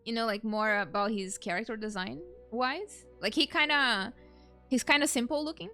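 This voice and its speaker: Bright, high-voiced young woman gamer. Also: moderate pace. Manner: informal, rambling, reactive. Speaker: game streamer. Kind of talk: casual let's play commentary. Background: game music.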